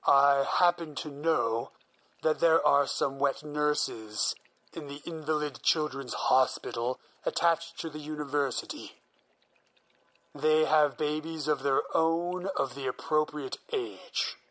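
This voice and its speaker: A man's voice: a little high, takes it slowly, fairly bright tone, rough recording. An actor playing a single-minded male scientist who explains his plans in an earnest, persuasive way. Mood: eager. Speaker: researching psychologist. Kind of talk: audio drama acting.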